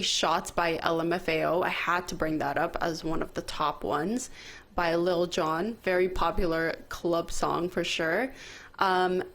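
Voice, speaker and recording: A woman's voice: on the low side, fairly bright tone. A woman hosting a talk show, presenting a list in a casual, chatty style.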